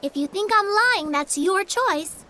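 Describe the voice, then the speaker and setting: An actress playing a composed young guardian in a game cutscene, speaking firmly and calmly. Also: female voice, very high voice, heavily acted. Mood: calm bluff. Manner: calm, firm, measured. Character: calm, composed girl guardian. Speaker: forest guardian. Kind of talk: video game cutscene acting.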